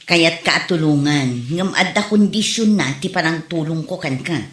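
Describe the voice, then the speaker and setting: A woman's voice: low voice, moderate pace, lightly acted. A woman acting a practical older relative, kind but bluntly disapproving, in a comedy drama recorded in an echoey room. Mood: stern, disapproving.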